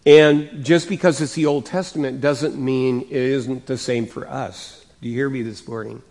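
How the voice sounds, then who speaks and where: A male pastor preaching a sermon in a conversational way, his voice slightly raspy from coughing, rising and getting louder to stress points. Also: medium pitch, fairly even intonation, normal speed. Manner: conversational, with many fillers and restarts; rises and gets louder for emphasis.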